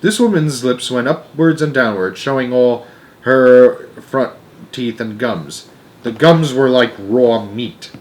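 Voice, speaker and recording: A man narrating a children's novel aloud in an expressive storytelling style. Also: mid-range voice, takes it slowly.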